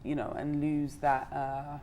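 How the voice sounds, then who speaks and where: A woman guest giving conversational interview answers in hesitant, halting phrases. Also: sits low, completely flat delivery, dark tone. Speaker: fellowship organiser, interview guest.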